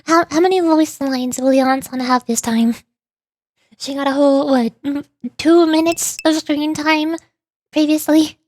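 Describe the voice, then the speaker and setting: A woman streamer chatting casually about a video game in a rambling, playfully exasperated way, often halting and repeating words. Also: high-pitched, steady tone, a little mellow, no room sound, studio quality. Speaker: streamer, VTuber. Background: background music.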